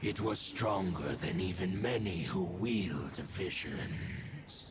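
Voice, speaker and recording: Very deep, solemn lore-teller. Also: male voice. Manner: slow, solemn storytelling. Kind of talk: video game voice acting. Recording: loud ambient noise over the voice.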